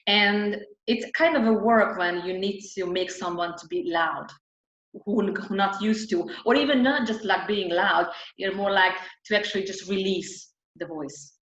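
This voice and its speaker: Woman vocal coach, interview guest, halting nonnative English, roomy recording. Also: medium pitch, a bit bright, a little hiss or hum. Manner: frequent restarts and fillers, nonnative phrasing. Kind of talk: casual reflective interview talk. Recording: roomy, dull lo-fi sound.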